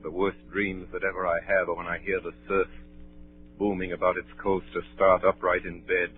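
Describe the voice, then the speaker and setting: An actor playing a grown man gravely recalling his boyhood adventure in measured 1930s radio narration. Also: low voice, level tone, lightly acted. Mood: grave, haunted. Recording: tinny 1938 radio recording, faint music.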